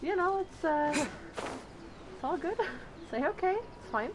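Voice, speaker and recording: A woman's voice: very high voice, lively intonation, takes it slowly, noisy background. Casual, sweary woman gamer. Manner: sweary, informal, self-deprecating. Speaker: gamer commenting on her gameplay. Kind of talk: casual gameplay commentary. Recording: game music behind voice.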